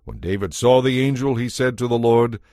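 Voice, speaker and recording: A man's voice: medium pitch, animated, normal speed, a little mellow, dry recording, clean studio sound. A man giving a scripted, dramatized narration of scripture as the narrator.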